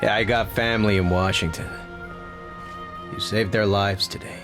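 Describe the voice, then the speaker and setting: Very low male voice, man acting a friendly officer, unhurried, game drama, music behind. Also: steady tone, natural voice. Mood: grateful, modest. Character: friendly, grateful male American lieutenant. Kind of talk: cinematic war game dialogue. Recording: clear music under the voice.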